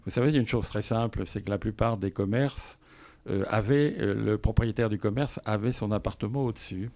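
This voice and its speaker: A man speaks as a local official being interviewed. His voice is fairly low and mellow, with marked fry. He explains in a measured, halting way, stopping often to find the next phrase.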